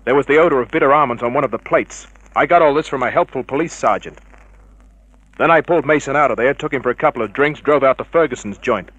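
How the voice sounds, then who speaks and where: A man's voice: mid-range voice, fast, fairly bright tone, no room sound, dull audio, close to an everyday voice. Hard-boiled actor as a male private eye. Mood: matter-of-fact. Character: tough, cynical male private investigator narrating his case. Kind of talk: 1950s radio crime drama narration and dialogue.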